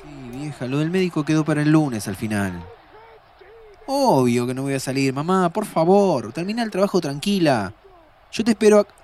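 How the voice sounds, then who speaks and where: A man's voice: on the high side, big pitch swings, normal speed, darkish tone. A man playing a sardonic, restless young Argentine man in an audio drama. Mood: exasperated, pleading. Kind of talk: audio drama acting, muttering to himself.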